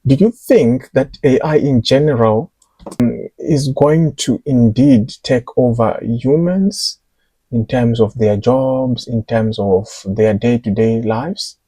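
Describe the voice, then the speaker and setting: A male podcast host with a mellow, slightly husky voice. He asks casual questions in a hesitant way, full of fillers and restarts. The recording is dry. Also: medium pitch, takes it slowly, dry recording, poor audio. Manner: hesitant, with fillers and repeated words.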